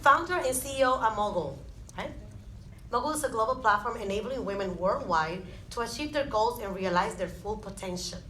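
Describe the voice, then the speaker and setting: A woman's voice: medium pitch, lively intonation, brisk, fairly bright tone, roomy sound, a little hiss or hum, low-quality recording. A woman with a Dominican accent moderating a panel, praising and introducing speakers. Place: theatre stage.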